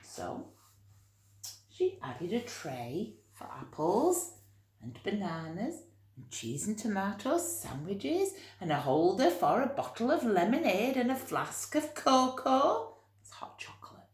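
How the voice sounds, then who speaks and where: A woman reading a picture book aloud to young children, expressive, with chatty asides and gentle explanations. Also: medium pitch, slow. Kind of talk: animated read-aloud storytelling with chatty asides. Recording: roomy, echoey room sound.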